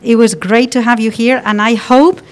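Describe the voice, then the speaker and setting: A woman's voice: a little high, steady tone, moderate pace. A woman with a Spanish accent hosting a school radio interview, asking questions in a friendly, encouraging way. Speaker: radio show host.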